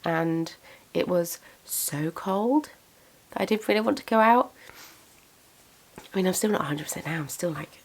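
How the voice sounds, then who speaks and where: Chatty woman with a gravelly voice. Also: lowish voice, normal speed, long pauses. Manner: chatty, self-deprecating, with frequent fillers. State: congested from a cold. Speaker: craft business owner and vlogger. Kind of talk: casual chat to camera.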